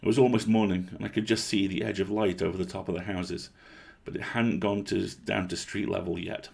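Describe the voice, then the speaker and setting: Male storyteller, low and gravelly, husky edge, expressive audiobook-style narration of a first-person tale. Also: fairly quick. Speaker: story reader.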